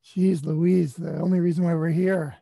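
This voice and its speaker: Middle-aged guy on his webcam, gushing about nature, dark mellow voice. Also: fairly high pitch, flat delivery, moderate pace, gravelly, dry recording. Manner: enthusiastic, warm about nature. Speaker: workshop participant. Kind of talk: casual sharing of photos. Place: small room at home. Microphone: webcam close up.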